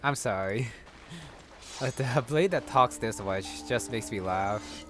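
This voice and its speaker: Casual male gamer over very loud music. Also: medium pitch, slow pace. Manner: offhand, understated, thinking aloud. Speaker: gamer commentating on own playthrough. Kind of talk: casual gameplay commentary. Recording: loud game music and combat crashes behind.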